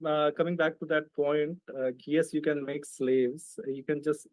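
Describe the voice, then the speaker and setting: Slow, deliberate Indian man debating. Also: a little high, fairly even intonation, no echo. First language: not English. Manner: confrontational, slow and deliberate, reads out texts. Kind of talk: online debate.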